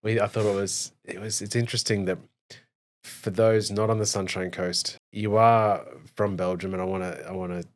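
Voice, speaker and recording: A man hosting a podcast, reading a smooth intro and then asking long, rambling questions. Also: on the low side, little pitch movement, normal speed. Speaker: podcast host. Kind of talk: podcast host intro and interview.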